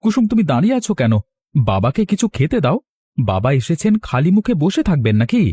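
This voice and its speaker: Casting: man playing eager, humble cartoon villager, slightly high animated voice, husky edge, respectful. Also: brisk, dry recording. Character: humble poor young farmer, eager respectful son-in-law. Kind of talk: cartoon voice acting.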